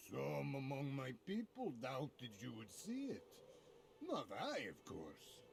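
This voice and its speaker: A male actor playing a grave, wary dwarf ally in a fantasy video game, speaking in a measured, formal way. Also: mid-range voice, wildly swooping pitch, vocal fry, a little hiss or hum, full character voice. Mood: grave, loyal.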